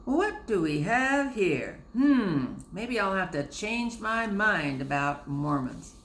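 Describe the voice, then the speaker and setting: A woman voicing a huge, scornful male sailor who growls and booms threats and mockery. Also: very animated, slow pace, fairly bright tone, reverberant, heavily acted. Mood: leering. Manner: growling, booming, mocking.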